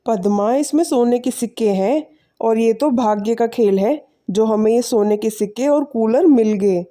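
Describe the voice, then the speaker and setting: A woman voicing a humble, selfless poor village man in an animated moral story, speaking politely and earnestly. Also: a little high, normal speed, natural voice. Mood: humble, modest.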